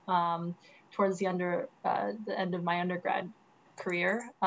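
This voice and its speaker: Woman engineer, low and flat, soft halting speech, muffled hissy call. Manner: soft, halting, many fillers. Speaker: panelist, structural engineer. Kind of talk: casual panel talk. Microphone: video call. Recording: dull audio, faint hiss.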